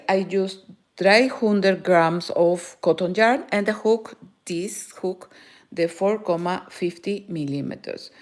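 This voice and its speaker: Slow, halting woman with a Peruvian Spanish accent. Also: mid-range voice. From Peru. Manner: halting, searching for words, frequent "eh" fillers. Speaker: crochet teacher. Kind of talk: live crochet tutorial.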